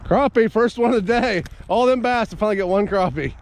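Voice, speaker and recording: Man from Ohio, excitable outdoor vlog host, slightly high voice, noisy camera audio out on the ice. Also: brisk. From Ohio, USA. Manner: chatty, excitable, swears casually. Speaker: fishing video host. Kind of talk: casual play-by-play commentary. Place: outdoors on a frozen pond in winter. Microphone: body-worn action camera.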